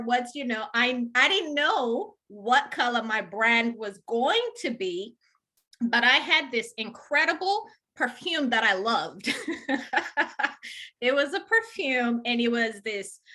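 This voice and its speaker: Bright-voiced woman guest, enthusiastic. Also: fairly high pitch. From Rwanda and Uganda. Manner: warm, enthusiastic, many fillers. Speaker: professional organizer, guest. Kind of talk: casual interview chat.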